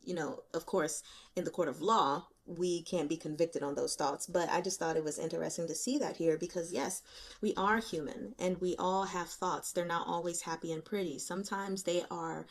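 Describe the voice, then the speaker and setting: A woman hosting a reading channel, talking through her notes in a casual, candid, colloquial and animated way. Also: on the low side, normal speed. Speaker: host of a book reading channel. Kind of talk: casual chatty book commentary. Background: rain.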